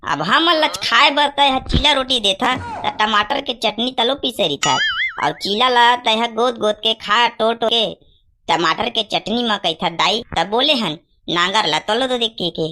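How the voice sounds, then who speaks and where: A woman plays a silly, earnest village boy in a cartoon, pestering in a Chhattisgarhi accent. The voice is sharp and bright, with slight background noise. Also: a little high, animated, a little slow, heavily acted. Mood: earnest arguing. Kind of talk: comedy cartoon dialogue.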